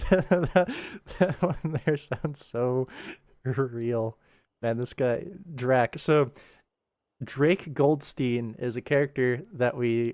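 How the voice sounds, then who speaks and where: A man's voice: medium pitch, no room sound, clean studio sound. A man streaming his work as an animation director, talking informally and rambling as he thinks aloud. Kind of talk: casual livestream work commentary. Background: game ambient music playing softly.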